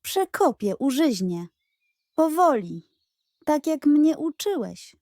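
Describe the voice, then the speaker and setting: Woman voicing a high-pitched, earnest little puppy. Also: big pitch swings, slow, warm tone, no room sound, studio quality, heavily acted. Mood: calm, hopeful. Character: small male puppy, remorseful, humble, eager to learn. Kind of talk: children's storybook character voice.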